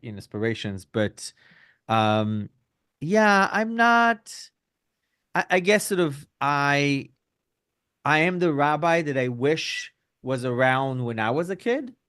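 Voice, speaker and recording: A man's voice: on the high side, sing-song, slow pace, a bit bright, clear, resonant tone, no echo. Middle-aged man, dry close sound. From Belgium and Israel. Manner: hedging with frequent fillers and tag questions. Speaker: rabbi, podcast guest. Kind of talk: conversational interview answers.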